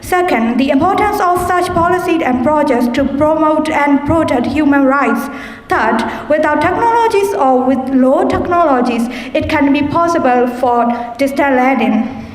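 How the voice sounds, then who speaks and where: A young woman from Myanmar, giving a formal debate speech and reading out prepared points in a measured way. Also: high voice, animated. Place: debate hall. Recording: echoey hall with long reverb.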